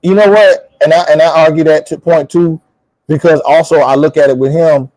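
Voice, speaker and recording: A man with a somewhat high-pitched voice talks politics in a loose, opinionated style. He is blunt and colloquial, hammering points by repeating them. The sound is close and dry. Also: fairly quick, no room sound, low-quality recording. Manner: animated, emphatic, repeats phrases. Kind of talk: opinionated casual political chat.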